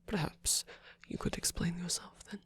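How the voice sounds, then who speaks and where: A performer voicing a flirtatious but flustered, tender male rock frontman in an intimate ASMR romance roleplay, speaking in a hesitant, halting, husky whisper. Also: a bit bright, close to an everyday voice. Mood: teasing, touched.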